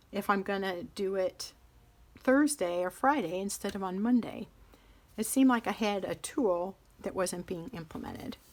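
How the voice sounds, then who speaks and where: A middle-aged woman vlogging about planners in casual show-and-tell chat, rambling and interrupting herself as she handles notebooks. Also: mid-range voice.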